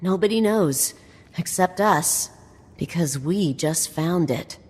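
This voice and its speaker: Wry scholarly explorer in cavernous reverb. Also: female voice, on the low side, lively intonation, takes it slowly, darkish tone, husky, exaggerated voice. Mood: keen, scholarly. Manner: bookish, wry, explanatory. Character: wry, nerdy female explorer-scholar, quick with dark jokes. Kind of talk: scripted video game dialogue. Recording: long hall reverb, game music behind. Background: game music.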